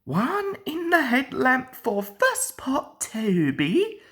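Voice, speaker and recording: A cocky young female train engine from a children's storybook, acted out by a woman reader. The voice jumps up high, with a dismissive, complaining manner and plenty of flounce. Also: wildly swooping pitch, extremely slow, fairly bright tone, exaggerated voice.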